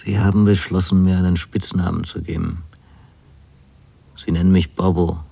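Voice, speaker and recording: A man acting a wayward young son writing to his mother in a radio drama, with a husky, gravelly voice and measured delivery. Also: low-pitched, flat delivery, mellow. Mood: wry, musing.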